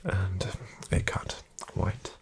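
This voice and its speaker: A man doing a soft-spoken ASMR roleplay as a courteous casino dealer, breathy and often near a whisper, in formal, measured patter. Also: heavy background noise. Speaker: ASMR performer playing a casino card dealer. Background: card shuffling and clicks.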